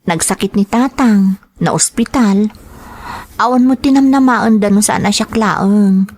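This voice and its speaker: A woman's voice: medium pitch, a little slow, darkish tone, no room sound, natural voice. A woman playing an earnest, dutiful young woman in an audio drama, with a Filipino accent. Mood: apologetic, earnest.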